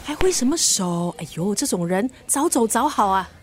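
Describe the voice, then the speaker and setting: An actress playing a scornful, sharp-tongued family friend in a radio drama, sarcastic and blunt, with a Singaporean accent. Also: female voice, mid-range voice, very animated, moderate pace, background noise, close to an everyday voice. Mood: bossy, mocking. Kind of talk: Mandarin radio drama acting.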